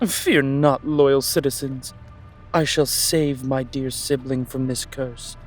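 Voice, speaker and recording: Man playing a slow-talking, haughty fantasy prince. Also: medium pitch, steady tone, soft background music, close to an everyday voice. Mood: grandiose. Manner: pompous, deliberate, drawn-out delivery. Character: haughty, calculating, menacing young prince, smugly theatrical. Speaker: voice actor. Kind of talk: scripted fantasy audio drama acting.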